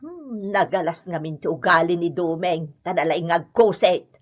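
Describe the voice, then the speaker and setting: A woman's voice: on the low side, moderate pace, close to an everyday voice. A woman playing an older, plaintive, placating mother in an Ilocano radio drama. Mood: dismayed, ashamed. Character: older mother, embarrassed and defensive about her son.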